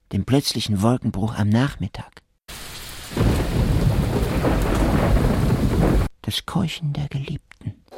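An actor playing an intense, self-absorbed male musician and inventor in a radio play, speaking in a hushed, solemn, incantatory way. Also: fairly low pitch, gravelly, very noisy. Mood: solemn, evocative. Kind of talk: German art radio play monologue. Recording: studio radio play under loud music. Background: loud music.

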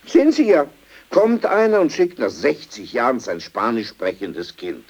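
A man's voice: mid-range voice, wildly swooping pitch, moderate pace, slightly rough, full character voice. An actor playing an old, brusque, world-weary man with a sardonic manner in a radio drama. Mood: bitter, uneasy. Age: older.

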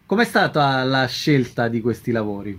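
A man's voice: medium pitch, very animated, normal speed, fairly bright tone. A man presenting and asking questions as the host of a radio interview. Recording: slightly dull radio audio.

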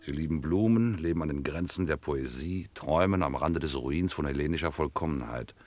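Pompous, very deep-voiced philosophizer. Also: male voice, fairly quick, darkish tone, no room sound. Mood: lofty, indignant. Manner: pompous, florid, declamatory. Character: pompous philosophizing man named Henry, lofty and bossy. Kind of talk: 1960s German radio crime play acting.

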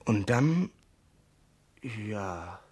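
Casting: haunted young sailor, husky, very slow and halting, heavy with guilt, vintage radio drama. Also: male voice, on the low side, expressive, darkish tone, full character voice. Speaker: actor in a radio crime play. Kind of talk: 1950s German radio play acting.

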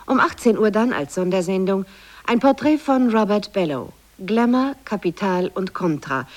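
An actress playing a composed radio continuity announcer, reading scripted programme notes in a formal, measured manner. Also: female voice, medium pitch, lightly acted.

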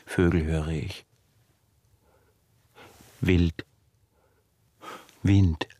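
An actor playing an obsessive recluse in a radio drama monologue, brooding and halting, with a husky, breathy voice that is often close to a whisper. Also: male voice, low-pitched, steady tone, mellow, no room sound, exaggerated voice. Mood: hushed, intent. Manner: halting, brooding, insistent repetition. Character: obsessive male recluse tormented by his acute hearing.

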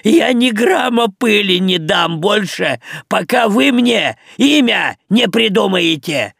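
A man voicing a cheeky, hyperactive little male fly creature in an audiobook, shrill and pushy. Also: expressive, takes it slowly, creaky, no echo, clean studio sound, exaggerated voice. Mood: demanding.

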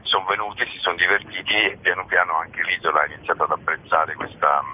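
A man's voice: deep voice, flat delivery, brisk, husky, phone-quality sound. A man explaining an event in an informal interview, talking in a discursive, expansive way, as a festival co-director.

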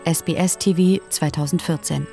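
A woman announcer with a low, mellow voice reads scripted narration. She is matter-of-fact, with faint music behind her. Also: fairly even intonation, moderate pace, creaky. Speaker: announcer of documentary sources.

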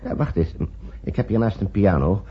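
An actor playing a measured, guarded male physician in a radio drama, speaking deliberately. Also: fairly low pitch, lively intonation, a little hiss or hum, close to an everyday voice. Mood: grave, confiding. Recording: muffled old radio recording.

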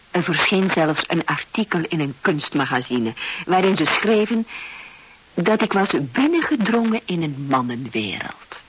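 Confident young woman, slightly husky, expressive melody, teasing composure, vintage radio play. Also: fairly low pitch, low-quality recording. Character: Hilda, confident young businesswoman falling in love. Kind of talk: Dutch-language radio drama acting. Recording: old radio play recording.

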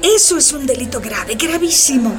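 An actress playing a firm, earnest schoolteacher who instructs children emphatically in a radio drama. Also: female voice, high voice, lively intonation, moderate pace. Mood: firm, emphatic. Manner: emphatic, didactic. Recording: music behind the voice.

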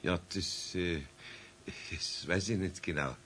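A man's voice: deep voice, slow pace, fairly bright tone, a little hiss or hum. An actor playing a good-natured older master craftsman with a Bavarian accent, homely and patient in a hesitant way, in a children's radio play. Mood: flustered. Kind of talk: 1970s German children's radio play acting.

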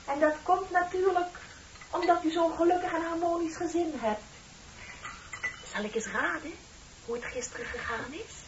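Chatty thirtysomething secretary lady, super gushy and flattering, old radio drama, muffled and a bit noisy. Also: very high voice, moderate pace, pauses often. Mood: admiring. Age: 30s. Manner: gushing, polite and effusive. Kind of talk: radio play acting.

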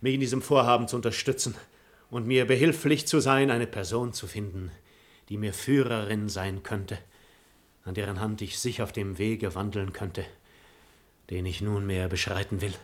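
A man's voice: fairly low pitch, steady tone, normal speed, natural voice. A man playing a proud, cynical nobleman and hypocrite in a German radio play, eloquent and declamatory. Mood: feigned piety.

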